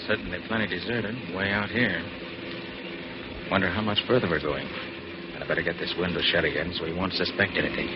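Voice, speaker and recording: Casting: actor as assured male private detective, lowish authoritative voice, noisy vintage radio sound. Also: steady tone, a little fast, natural voice. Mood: musing, watchful. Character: confident, commanding male master detective. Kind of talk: 1940s radio acting. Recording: dull, noisy 1940s radio recording.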